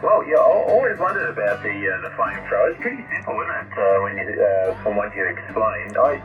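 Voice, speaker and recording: A middle-aged Australian-accented man comes through a dull, band-limited radio link. His voice is on the low side, and he chats in a friendly, halting manner. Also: expressive, faint music behind. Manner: friendly, hesitant. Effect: heard over amateur radio. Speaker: firefighter and amateur radio operator. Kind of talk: casual radio chat.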